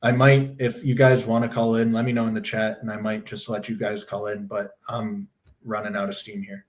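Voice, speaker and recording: A man's voice: lowish voice, little pitch movement, normal speed. A young man hosting a casual livestream. He talks bluntly, rambles, swears freely, and is losing his voice from a sore throat. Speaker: livestream host.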